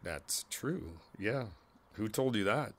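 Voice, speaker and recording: A man playing a kindly older neighbor in an ASMR roleplay, reflective and candid, talking in a halting, conversational way and repeating his phrases. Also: on the low side, expressive, a little hiss or hum. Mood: somber, candid.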